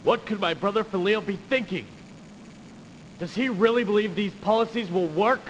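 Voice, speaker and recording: A man's voice: sits high, moderate pace, natural voice. A man playing a disgruntled, idealistic young nobleman in a video game, speaking theatrically with haughty indignation. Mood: scornful, irritated. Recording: noisy, reverberant early CD game audio.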